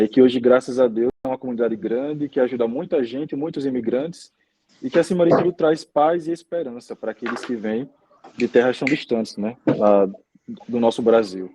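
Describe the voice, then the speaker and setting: Husky young Brazilian priest. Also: male voice, mid-range voice, dark tone, low-quality recording. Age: 20s. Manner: measured, many "né?" tags. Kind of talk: interview answers.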